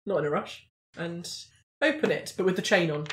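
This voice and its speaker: A woman's voice: on the low side, moderate pace. Woman improvising a story in a roomy space. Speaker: player in a roleplaying podcast. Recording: roomy indoor echo.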